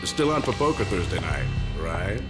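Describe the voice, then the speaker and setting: A man's voice: sits low, big pitch swings, moderate pace, over loud music. An actor playing a friendly, teasing police colleague, a man bantering casually in a video game.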